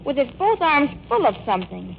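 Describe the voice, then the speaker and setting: An actress playing an eager, blunt, tattling little sister in a 1940s radio comedy, with a childlike, artless manner. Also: female voice, high-pitched, big pitch swings, brisk, creaky, exaggerated voice. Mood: eager, teasing. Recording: muffled, hissy old radio broadcast.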